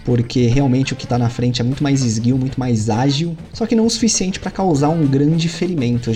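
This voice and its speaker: Brazilian man narrating as game master. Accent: Brazilian Portuguese. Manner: animated storytelling with sudden lifts in pitch. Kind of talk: narrating a tabletop horror game session.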